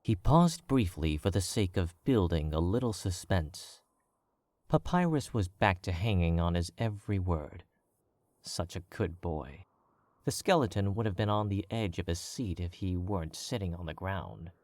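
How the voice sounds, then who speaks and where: A man narrating a fan fiction as an audiobook, in a measured, wry storytelling manner. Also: low-pitched, lively intonation, darkish tone, no room sound.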